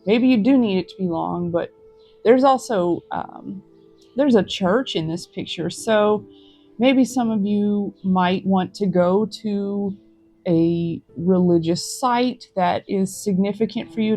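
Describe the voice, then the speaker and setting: A woman hosting a tarot reading, talking to viewers in a gentle, reflective, encouraging way. She is recorded outdoors in a forest. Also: medium pitch. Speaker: tarot reader.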